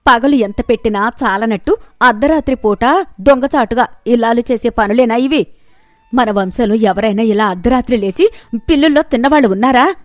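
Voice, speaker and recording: Woman voicing a loud, scolding older mother-in-law. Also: fairly high pitch, moderate pace, dry recording, close to an everyday voice. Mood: angry scolding.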